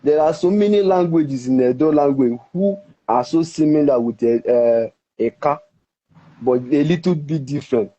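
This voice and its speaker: Nigerian man, mellow voice, muffled. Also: a little high. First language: Ika. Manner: explains at length, halting restarts. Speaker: interviewee. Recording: dull, muffled recording.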